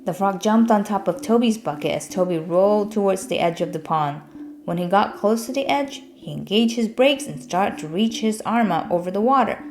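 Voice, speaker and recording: A woman's voice: medium pitch, lively intonation, moderate pace, darkish tone. A woman narrating a children's storybook as a scripted read-aloud.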